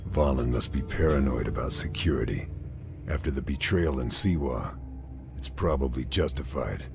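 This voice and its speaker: Lone military sniper, extremely low register, grave and controlled video game narration over scored music. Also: male voice. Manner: measured, grave, restrained. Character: grim lone male army sniper, terse and calculating. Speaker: protagonist narrator. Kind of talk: scripted mission briefing narration. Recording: music behind the voice.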